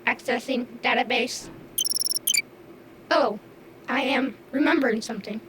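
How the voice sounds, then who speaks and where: A performer voicing a gentle, innocent robot with a fragmented memory, wistful and literal in manner. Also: medium pitch, measured pace, heavy background noise, natural voice.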